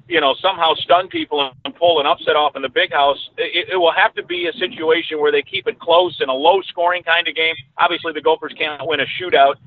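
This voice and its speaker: A man, a radio sports announcer, giving conversational analysis as a guest over a remote call. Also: fairly high pitch. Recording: dull lo-fi remote line, background noise.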